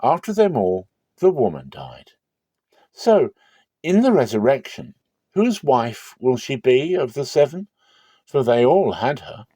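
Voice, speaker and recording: A man voicing sly, flattering questioners who test a teacher in a dramatic scripture reading. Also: mid-range voice, a little slow, breathy edge, dry recording, voice pushed up. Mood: probing, needling. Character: smooth-talking questioners slyly testing a teacher.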